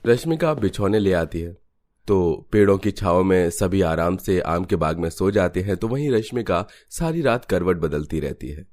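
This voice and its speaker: Guy narrating a hindi cartoon story, really deep voice, scripted storyteller read. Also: lively intonation, normal speed, a little mellow.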